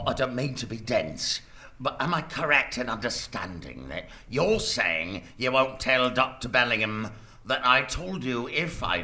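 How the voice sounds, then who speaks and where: A man's voice: on the low side, pushed and bright. A man playing a nervous, dithering hotel servant who stammers through a live radio drama. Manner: stammering, hesitant, flustered.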